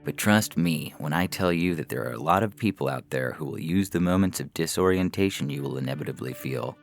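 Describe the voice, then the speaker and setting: A man with an exceptionally deep voice narrates a short story. His tone crackles with gravelly fry, and he phrases deliberately, leaving pauses. Soft music runs faintly underneath. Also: expressive. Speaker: story reader. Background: faint background music.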